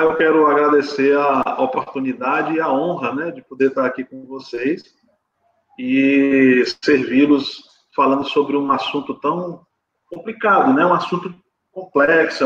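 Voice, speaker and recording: Guy from brazil explaining stuff as a guest, careful and halting, echoey room, dull sound. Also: mid-range voice, a bit bright. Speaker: guest speaker. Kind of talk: explanatory talk on livestream. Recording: roomy, dull audio with background noise.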